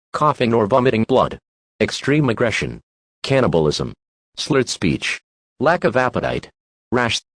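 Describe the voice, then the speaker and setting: A formal male announcer reads a scripted emergency alert. His voice is husky, with pitch that jumps around in a synthetic-sounding way, and the sound is very dry and close. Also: no room sound.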